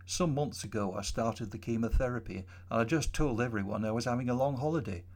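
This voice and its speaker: A man voicing a young woman of about thirty who speaks quietly and candidly in a storybook reading. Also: medium pitch, brisk, lightly acted. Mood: confiding. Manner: quiet, soft-spoken.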